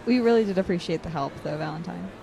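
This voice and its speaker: A woman's voice: on the low side, quick pace, vocal fry, dark tone. Woman acting dry, studious young student, noisy recording. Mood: sincere. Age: young adult. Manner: dry, understated, slightly hesitant. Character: studious, wry, guarded college student Ether. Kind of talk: naturalistic audio drama acting.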